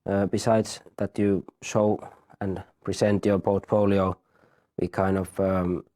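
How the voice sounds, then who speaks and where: A man in his thirties, a university teacher, explaining a study program in a halting way, often repeating words. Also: sits low, flat delivery, dark tone. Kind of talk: explaining in an interview.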